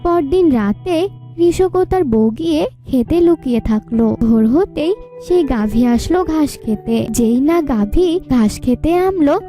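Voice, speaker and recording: A woman's voice: sits high, expressive, measured pace, mellow, faint music behind. A woman narrator reading a scripted fairy tale.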